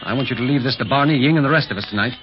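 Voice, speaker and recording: Actor as brisk, commanding radio-serial agent, muffled. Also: male voice, medium pitch, natural voice. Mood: firm. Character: brisk, cautious secret police agent leading the team. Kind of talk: 1930s radio serial acting. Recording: muffled old radio recording, music behind.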